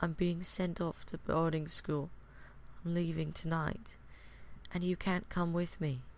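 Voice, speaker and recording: A man voicing an excitable young boy in a theatrical, exclamatory way for a horror story. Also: mid-range voice, measured pace, slight background noise, pitched above the performer's own voice, close to an everyday voice. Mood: sad, subdued. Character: excitable young English boy playing pirates.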